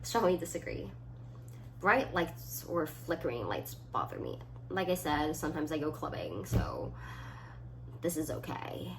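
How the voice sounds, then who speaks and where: Young woman vlogger, lively swings, noisy recording. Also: low-pitched, measured pace. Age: about 20. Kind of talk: casual solo chat, reading quiz items aloud and answering. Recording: clearly audible background noise.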